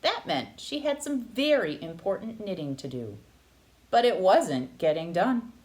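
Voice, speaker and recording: A woman narrating a children's picture book aloud with expressive, dramatic phrasing. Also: mid-range voice, a little slow. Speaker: read-aloud storyteller.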